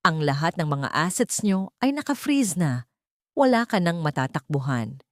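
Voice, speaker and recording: A woman's voice: deep voice, big pitch swings, normal speed, no room sound, studio quality. A woman voicing a young heiress who speaks firmly and with scorn, in a storybook reading. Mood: cold, scornful. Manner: firm, scornful. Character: young wealthy heiress, cold and cutting.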